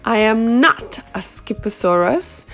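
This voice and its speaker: A woman's voice: fairly high pitch, animated, slow pace, a bit bright, faint music behind, full character voice. A woman voicing a brave, proud little male Chihuahua in a read-aloud children's storybook, speaking in a dramatic, declaring way.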